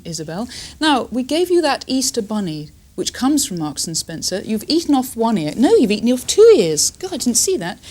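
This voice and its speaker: A woman's voice: mid-range voice, a little mellow. A British woman presents in a chatty studio style. Her intonation swings widely, and she teases playfully as she talks. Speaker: television presenter. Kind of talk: chatty studio interview with a child.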